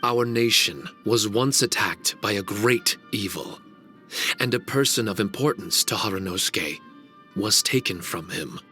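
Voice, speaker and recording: A man voicing an ancient male guardian spirit in a video game cutscene, formal, measured and grave. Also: fairly low pitch, bright, forceful tone, soft background music. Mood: solemn.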